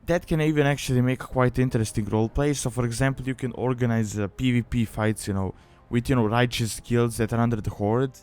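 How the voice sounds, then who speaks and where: A man's voice: mid-range voice, steady tone, a little fast, a little mellow, clear, resonant tone, soft background music. A man giving a casual, explanatory tutorial voice-over as a video host, rambling and leaning often on filler phrases. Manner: rambling, peppered with "you know" and "like". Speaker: guide host, gaming video maker.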